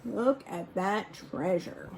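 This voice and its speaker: A woman reading a picture book aloud like a teacher, expressive and instructive, speaking to young listeners. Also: medium pitch, slow, creaky, noisy background. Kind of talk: storybook read-aloud with brief asides.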